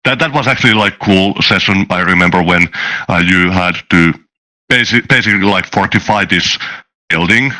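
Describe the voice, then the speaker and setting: A man talking casually as a game developer, in halting, stop-and-start phrases. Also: sits low, bright, forceful tone, poor audio. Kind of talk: casual chat.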